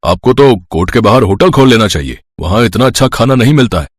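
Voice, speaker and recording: A man's voice: medium pitch, expressive, fast, no room sound, clean studio sound, close to an everyday voice. A man playing a jovial, food-loving lawyer in a storybook tale, hearty and effusive. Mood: delighted.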